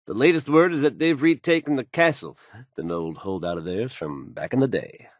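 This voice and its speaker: A man's voice: mid-range voice, moderate pace, dry recording, clean studio sound, close to an everyday voice. A man playing a self-assured radio newscaster in a video game, fluent and folksy as he announces the news. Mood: newsy, confident. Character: confident, smooth, assured male radio newscaster.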